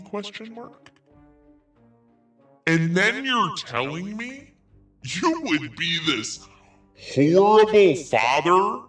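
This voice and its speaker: Sarcastic man with a lively voice. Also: a little high, far from the mic. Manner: sarcastic, swearing, laughs through reading. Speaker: podcast host. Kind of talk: commentary podcast, reading messages aloud.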